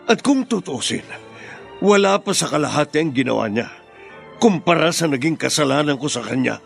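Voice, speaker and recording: Gravelly, stern radio-drama father. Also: a little high, lively intonation, moderate pace, soft background music. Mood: confessing, insistent. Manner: emphatic, dramatic delivery. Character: stern, guilt-ridden middle-aged father and husband. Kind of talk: radio melodrama acting.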